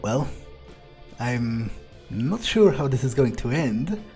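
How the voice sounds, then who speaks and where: A man roleplaying a hesitant, curious vampire in a video game, musing aloud in a halting, wary way. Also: mid-range voice, very animated, dark tone, faint music behind. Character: hesitant, curious male vampire, wary yet polite loner. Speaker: let's player voicing own character. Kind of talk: in-character improvised game roleplay.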